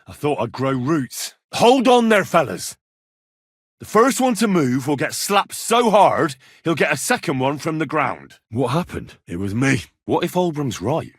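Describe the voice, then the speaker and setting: A man playing a brash, blunt young medieval squire in a video game, with a husky voice. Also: on the high side, big pitch swings, measured pace, no echo, clean studio sound, heavily acted. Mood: exasperated. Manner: blunt, colloquial, assertive. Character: brash young medieval Bohemian squire, plain-spoken and quick-tempered. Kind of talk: video game dialogue acting.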